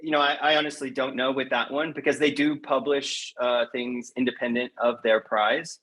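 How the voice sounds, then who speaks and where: A man talks as a guest in a relaxed interview, with a mid-pitched voice. He is frank and emphatic, often doubling back on a phrase to stress it. The style is conversational and informal. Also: normal speed. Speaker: poet interviewed as podcast guest.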